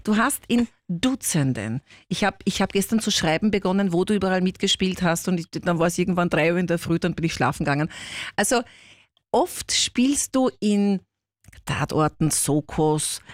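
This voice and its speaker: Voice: mid-range voice, expressive, normal speed, no echo, studio quality. Teasing radio host. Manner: teasing, chatty, laughs often. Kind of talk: casual radio interview. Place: radio studio.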